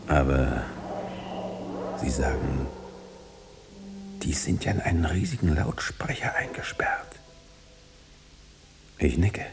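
An actor playing a mysterious, insistent man in hiding who conjures visions in halting, suggestive phrases in a radio play. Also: rumbling low, lively intonation, full character voice. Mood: hushed, eerie. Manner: halting, suggestive phrases, sometimes dropping to whispers.

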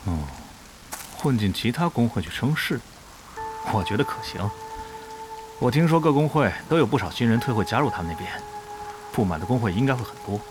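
A man playing a measured, authoritative and calculating online guild leader in an audio drama. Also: medium pitch, expressive, background noise, natural voice. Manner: measured, decisive. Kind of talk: Chinese audio drama dialogue.

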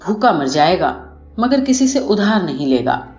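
A woman reading a short story aloud in an expressive, scripted narration style. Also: lowish voice. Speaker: audiobook narrator. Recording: muffled, roomy recording with music behind. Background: music.

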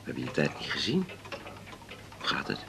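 An actor with a Netherlands Dutch accent playing a gentle, absent-minded older father in a radio drama. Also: wildly swooping pitch, moderate pace, heavily acted. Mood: affectionate, absorbed. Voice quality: deep, gravelly. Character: gentle, distracted older father who loves clocks. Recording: background noise of ticking clocks. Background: ticking clocks.

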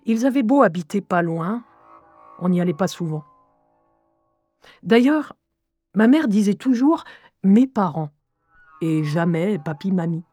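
An unhurried young woman played by an actress confides her memories over music. She is introspective and dryly ironic, narrating an audio drama in a deliberate way. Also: medium pitch, lively intonation, dark tone. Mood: wry, confiding. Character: wry, introspective young woman recalling her troubled childhood. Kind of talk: scripted first-person audio drama narration.

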